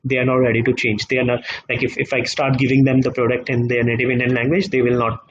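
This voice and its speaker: A man speaking as a guest in a live online discussion, halting often with fillers and repeated words. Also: medium pitch, monotone, husky, muffled recording. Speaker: guest economist.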